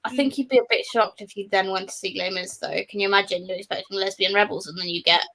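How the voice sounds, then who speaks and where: A woman's voice: medium pitch, fairly even intonation, fast, fairly bright tone, poor audio. A woman speaking casually as a guest on a book livestream. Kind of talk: casual chat.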